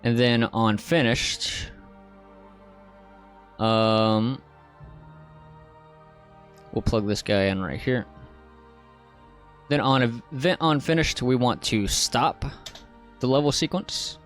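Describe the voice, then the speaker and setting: Casual man with a fairly high-pitched voice. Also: slow, long pauses, a bit bright, soft background music. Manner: informal, step-by-step explaining. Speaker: tutorial host. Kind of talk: casual software tutorial narration.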